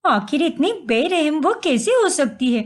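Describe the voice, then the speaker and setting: A scheming young daughter-in-law from a family storybook tale, played by a woman. Her high voice is very animated. She whines and complains with deceitful, dramatic flair. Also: unhurried. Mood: aggrieved.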